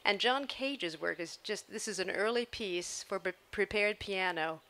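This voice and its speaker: A woman's voice: mid-range voice, unhurried, bright, forceful tone. An older woman, a choreographer, answering questions at a public talk on an outdoor stage. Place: outdoor stage before an audience. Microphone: handheld microphone.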